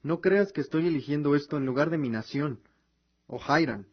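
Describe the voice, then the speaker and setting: An idealistic young prince acted by a man in a radio drama, speaking earnestly in a fairly high male voice. The sound is dull and lo-fi. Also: normal speed, natural voice. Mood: earnest pleading. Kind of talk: scripted audio drama acting. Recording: dull lo-fi recording.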